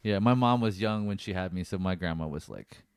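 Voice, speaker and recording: A man's voice: sits low, a little fast, darkish tone, smooth, no room sound, studio quality. A man hosting a podcast, asking loose, rambling questions in an encouraging, friendly way. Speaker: podcast host. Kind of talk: casual interview chat.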